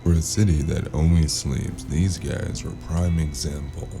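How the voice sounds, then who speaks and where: Jaded male private eye played by a man, noir audio drama: extremely deep, dry wit, music bed. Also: steady tone, measured pace, heavily acted. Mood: wry, weary. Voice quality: deep, creaky. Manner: wry, world-weary, casual. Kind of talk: noir audio drama with first-person narration.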